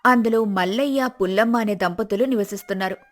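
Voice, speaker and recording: A woman's voice: mid-range voice, a little fast, soft background music. A woman storyteller narrating a scripted folk tale.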